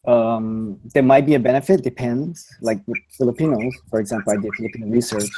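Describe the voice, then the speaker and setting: A man speaking as a guest on a panel, hesitant with many pauses and ums. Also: medium pitch, steady tone, dark tone, slight background noise, poor audio. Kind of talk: casual panel discussion.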